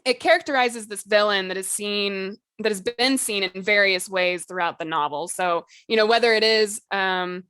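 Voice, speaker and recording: A woman's voice: medium pitch, moderate pace, few pauses, a bit bright, clean tone, dry recording. A woman co-hosting a podcast, explaining things in an informal, chatty way with lots of casual asides. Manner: chatty, informal, peppers talk with "like" and "you know". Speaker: podcast co-host.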